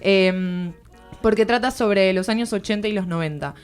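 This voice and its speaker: A woman's voice: mid-range voice, moderate pace, smooth, soft background music. A woman with an Argentine accent giving a conversational book review as a radio columnist, explaining earnestly and searching for words. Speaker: literary columnist on a radio show. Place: radio studio.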